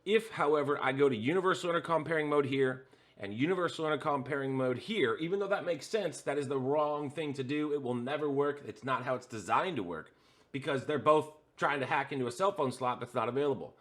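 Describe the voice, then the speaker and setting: A man's voice: mid-range voice, fairly quick, a bit bright. A man hosting a hands-on tutorial, explaining a setup step by step with frequent "uh" fillers while pointing at the devices in front of him. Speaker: host.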